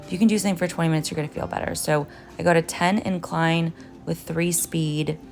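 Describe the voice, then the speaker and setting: A woman in her thirties, originally from Finland, talks her viewers through a day of meals in a loose, friendly voice-over. Her voice sits low for a woman and comes with soft music underneath. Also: steady tone, fairly quick, gravelly. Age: 30s. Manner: casual, chatty, upbeat, addresses viewers as guys. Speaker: nutritionist and vlogger. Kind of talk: what-I-eat-in-a-day vlog narration.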